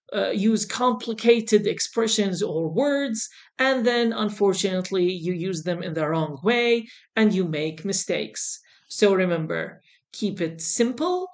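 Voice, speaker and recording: A woman teaching an English exam lesson in a deliberate, reassuring manner, repeating her key points as she goes. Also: mid-range voice, a little mellow. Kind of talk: instructional lesson to camera.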